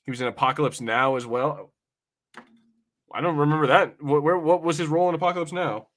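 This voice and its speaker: A man's voice: a little high, steady tone, brisk, a bit bright. A young adult man in casual podcast banter, brash and teasing, swearing freely as he talks. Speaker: podcast co-host. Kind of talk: casual watch-party banter.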